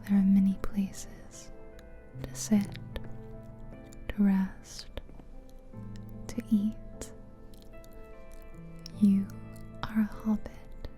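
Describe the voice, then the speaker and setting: Woman whispering asmr style, super slow n soothing, really dark soft voice, music behind. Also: medium pitch, completely flat delivery. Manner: slow, soothing, pausing between short phrases. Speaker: ASMR host and guide. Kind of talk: soft-spoken whispered ASMR guided visualization. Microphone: close stereo ASMR microphone. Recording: close ear-to-ear mic, music behind.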